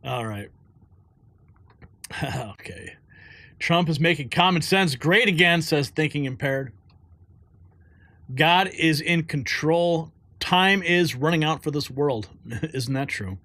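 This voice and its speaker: A man hosting a live news stream in a loose, chatty way, reading out viewer comments and laughing often. His voice sits a little high for a man. The sound is dry and close, with no room echo. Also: slow pace, pauses often, bright, forceful tone. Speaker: news show host.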